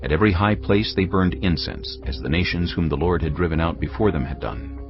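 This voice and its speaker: A man narrating dramatised scripture from a script in a grave, measured, dramatic manner. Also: sits low. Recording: dull audio, music underneath.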